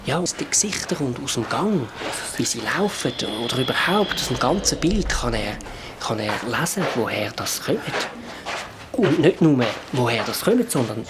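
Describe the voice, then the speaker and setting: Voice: medium pitch, very animated, normal speed, very noisy, lightly acted. A performer voicing a young character in a children's radio play, explaining things eagerly.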